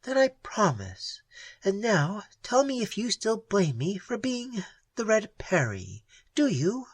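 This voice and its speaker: A reader voicing a proud young woman outlaw who turns from soft and wistful to shrill anger, in an audiobook. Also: big pitch swings, slow pace, husky, dry recording, heavily acted. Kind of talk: audiobook character voice.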